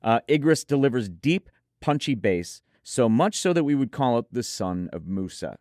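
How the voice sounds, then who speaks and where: A man's voice: medium pitch, sing-song, moderate pace, darkish tone, no room sound, studio quality. A man co-hosting a product review, talking conversationally with enthusiastic, firm opinions. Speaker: headphone show co-host reviewer.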